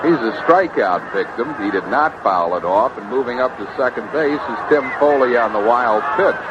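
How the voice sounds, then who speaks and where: A man's voice: mid-range voice, animated, moderate pace. An American man calling baseball play by play as a live radio announcer. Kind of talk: live radio sports commentary. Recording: dull, very noisy vintage AM radio broadcast.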